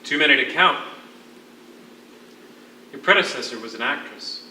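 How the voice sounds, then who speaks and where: A man acts out a jailed writer with a formal, wordy wit. His voice is very forceful and theatrical, carrying dry sarcasm and weariness. It sounds distant and echoey, as from a theatre stage, with faint noise. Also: mid-range voice, expressive, moderate pace. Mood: grim storytelling. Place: on a stage in a hall.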